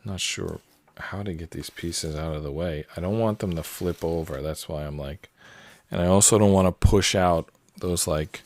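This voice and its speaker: Man in his late 40s, low voice, dry close sound, rambling puzzle-solving chat. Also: no echo. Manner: rambling, relaxed, many fillers and tangents. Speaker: puzzle channel host. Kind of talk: casual solo commentary while solving a puzzle.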